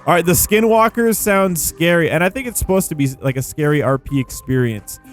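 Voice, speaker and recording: A man's voice: on the high side, animated, fairly quick. A man hosting a gaming video with casual, rambling commentary, playful and full of informal slang. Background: background music.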